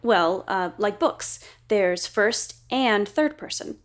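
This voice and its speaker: A woman's voice: medium pitch, expressive, slow pace. An actress playing an earnest young woman assistant with ideas, in an audio drama.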